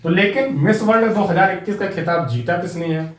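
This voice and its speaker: A man's voice: high voice, brisk, roomy sound. A man with an Indian accent teaching an exam-prep class, repeating key facts and speaking straight to his students. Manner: repetitive, drilling facts, addresses students directly.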